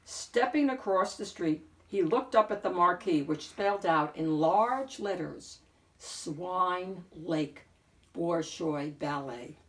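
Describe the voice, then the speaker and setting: A woman narrating a children's picture book in an expressive read-aloud style, with deliberate, dramatic emphasis. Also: mid-range voice, far from the mic. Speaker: storyteller, picture book reader.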